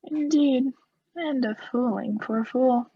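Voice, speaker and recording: A woman acting a coolly scheming aristocrat in a radio play, formal and measured, feigning friendly concern. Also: sits high, breathy edge, heavily acted. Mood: quietly menacing. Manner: formal, measured, slyly solicitous. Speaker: student actor in a radio play. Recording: echoey, slightly muffled recording.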